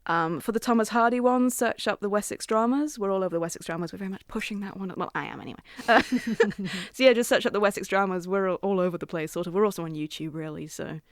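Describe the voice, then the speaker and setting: Quick-talking, self-deprecating woman, dry sound. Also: medium pitch, no echo. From New Zealand. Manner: giggly, self-deprecating, rambling. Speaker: interview guest, audio drama writer. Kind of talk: casual chatty radio interview.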